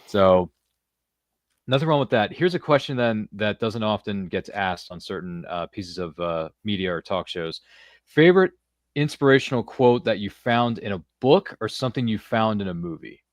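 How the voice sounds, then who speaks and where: A man's voice: fairly low pitch, lively intonation, normal speed, no echo, studio quality. An American man chatting casually as a podcast host, talkative and rambling as he goes. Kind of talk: casual interview chat.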